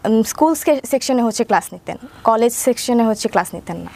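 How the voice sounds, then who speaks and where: A woman's voice: a little high, lively intonation, a little fast, creaky, darkish tone. A woman of around twenty from Mymensingh with a Bangladeshi accent, telling her life story conversationally as an interview guest, step by step with habitual filler phrases.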